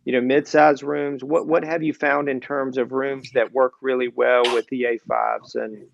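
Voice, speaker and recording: A man's voice: mid-range voice, level tone, moderate pace, creaky, a little hiss or hum. A man interviewing someone in a casual, conversational way, building up gradually to his questions, on a slightly muffled recording. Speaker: interviewer, audio magazine editor.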